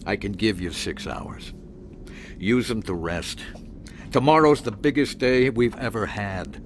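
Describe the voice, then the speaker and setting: An actor playing the stern boss of a secret team, speaking in a clipped, authoritative way in an audio drama. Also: male voice, on the low side, unhurried, a little hiss or hum, natural voice. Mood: stern, grave.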